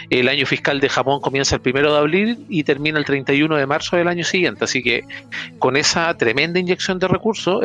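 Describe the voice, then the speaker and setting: Chilean man running through world news. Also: medium pitch. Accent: Chilean Spanish. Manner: relaxed, explanatory, with many restarts. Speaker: panelist reading international news. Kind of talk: casual podcast news roundup.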